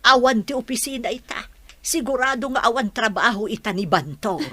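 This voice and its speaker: A woman's voice: fairly high pitch, expressive, fairly quick, sharp tone, no room sound. A woman with an Ilocano accent acting a scheming, doting village mother in a radio drama, coaxing and emphatic. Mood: eager, proud.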